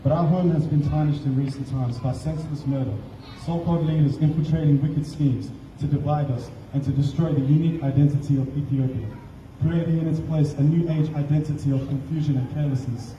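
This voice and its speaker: A young man from Australia, reading a solemn, measured prepared speech at an outdoor rally. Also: fairly high pitch, fairly even intonation, warm tone, slight background noise. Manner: solemn, measured, read from notes. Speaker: rally speaker. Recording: distant, echoey, dull outdoor recording.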